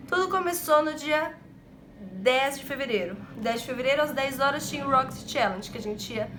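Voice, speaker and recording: A woman's voice: high-pitched, animated, moderate pace, background noise. A seventeen-year-old woman from Brazil, chatting casually to camera in her bedroom, nasal from a cold and self-deprecating. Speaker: vlogger.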